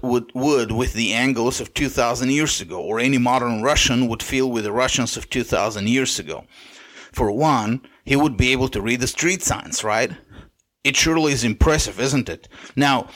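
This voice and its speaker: A man with a Bulgarian accent, whose first language is Bulgarian, hosting a podcast and lecturing in a measured monologue with occasional restarts. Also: mid-range voice, fairly bright tone. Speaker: podcast host. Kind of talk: monologue commentary.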